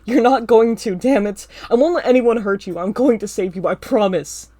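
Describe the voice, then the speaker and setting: A woman voicing a desperate young man who shouts pleas while protecting his friend. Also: fairly high pitch, moderate pace, no room sound, close to an everyday voice. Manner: loud, pleading shouts.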